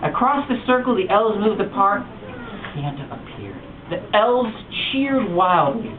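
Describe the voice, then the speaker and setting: Male storytime reader, lively expressive read-aloud, heard from a distance in an echoey room, tinny, noisy. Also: high voice, a little slow. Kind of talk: reading a picture book aloud to children. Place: bookstore storytime.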